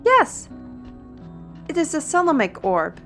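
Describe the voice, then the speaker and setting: A woman's voice: sits high, huge pitch swings, slow, a bit bright, faint music behind, full character voice. A woman playing a wry, learned artisan steward in a fantasy audio roleplay, talking conversationally and instructively to the listener. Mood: explaining, brisk.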